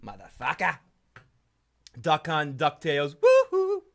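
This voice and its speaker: A middle-aged man with a Boston accent hosts a live podcast stream, talking in a rambling, profane way. His fairly high voice swings widely in pitch, jumping into sudden excited yelps. He sounds a little under the weather. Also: takes it slowly, creaky. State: sick. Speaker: podcast host. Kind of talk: casual live-stream chat.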